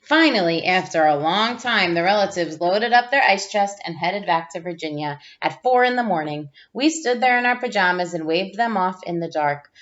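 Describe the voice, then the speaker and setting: A woman's voice: fairly low pitch, expressive, normal speed, hardly any pauses, fairly bright tone, muffled recording. A woman teacher reading a storybook aloud to pupils, expressively, drawing out phrases for emphasis.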